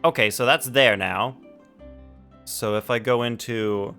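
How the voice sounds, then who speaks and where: A man's voice: mid-range voice, measured pace, creaky, bright, forceful tone. A man hosting a casual gaming livestream, thinking aloud as he sets things up. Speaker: livestreamer, host. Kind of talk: casual gaming stream chat. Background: background music.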